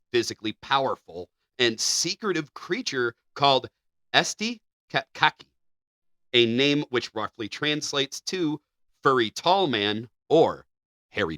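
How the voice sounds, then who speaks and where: A man co-hosting a podcast, chatting casually and reading passages aloud in a measured, even delivery. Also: medium pitch, no echo, clean studio sound. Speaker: podcast co-host.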